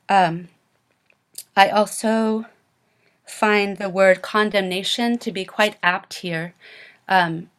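A woman from Maine giving public comment at a council hearing over a video call, earnest and halting, with many pauses and restarts. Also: lowish voice, a bit bright.

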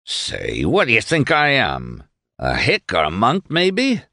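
Man doing a smug, swaggering small-town thug, swooping pitch. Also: huge pitch swings, slow, gravelly, sharp tone, no room sound, studio quality, heavily acted. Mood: smug, boastful. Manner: slangy, boastful, condescending. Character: crude, smug, leering fascist militia boss.

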